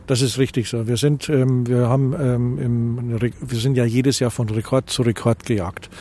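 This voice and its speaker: Bavarian man, mellow, hesitant. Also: medium pitch, flat delivery, moderate pace, slight background noise. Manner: hesitant, many fillers and restarts. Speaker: tourism association president. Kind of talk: interview answers.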